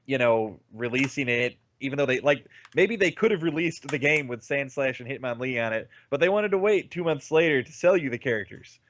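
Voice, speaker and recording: A man's voice: mid-range voice, animated, a little fast, few pauses, fairly bright tone. A man with a stuffy nose rambling casually about games on a podcast, full of fillers and restarts. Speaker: podcast co-host. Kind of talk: casual gaming chat.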